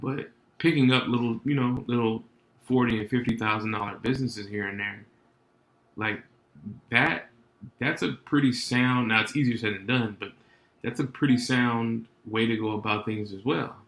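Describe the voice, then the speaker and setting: A man with a gravelly, mid-pitched voice co-hosts a podcast in an easygoing, teasing way. His voice rings in a roomy, echoing space. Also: normal speed, fairly bright tone. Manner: easygoing, teasing, laughs often. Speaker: podcast co-host. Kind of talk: casual chat. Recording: roomy echo.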